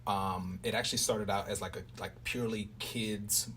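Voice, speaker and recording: Low-voiced man chatting casually, distant mic. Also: fairly even intonation, moderate pace, fairly bright tone. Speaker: interviewer and radio play writer. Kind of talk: casual impromptu interview chat. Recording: noisy room, distant mic.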